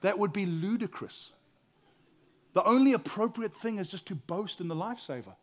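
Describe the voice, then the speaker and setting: Man, pastor, sermon, loud voice, high pitched, hushed then loud, repeats words. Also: lively intonation, moderate pace. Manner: swings from hushed whispers to loud exclaiming, stammers repeats.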